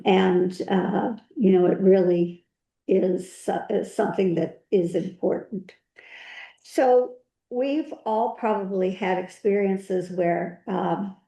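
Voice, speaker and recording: A woman with a mellow, dark-toned voice speaks at a town board meeting. She talks haltingly, with plenty of fillers, and her voice echoes around the meeting room. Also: mid-range voice, gravelly. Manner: halting, many fillers, informal. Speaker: board member at a public meeting. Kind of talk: remarks at a public meeting.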